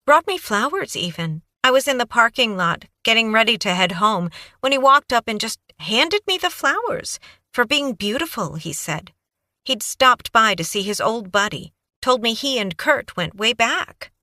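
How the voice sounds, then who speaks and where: A woman voicing a flustered, tearful young woman who babbles through her story in a high, breathless voice for an audiobook. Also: very animated, moderate pace, bright, forceful tone, no echo, clean studio sound. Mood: gushing. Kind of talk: audiobook character voice.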